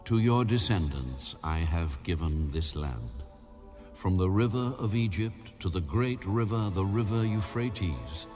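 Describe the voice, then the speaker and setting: God in a bible drama, super deep and slow, grave, music clearly underneath. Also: male voice, animated. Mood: solemn decree. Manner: grave, measured, declamatory. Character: God, solemn commanding voice granting land. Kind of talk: dramatized audio Bible acting.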